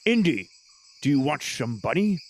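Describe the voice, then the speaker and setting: A man voicing a boastful adventurer who cooks for the party in a tabletop game, with a creaky voice. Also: fairly high pitch, very animated, normal speed, a little hiss or hum. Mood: offhand, offering.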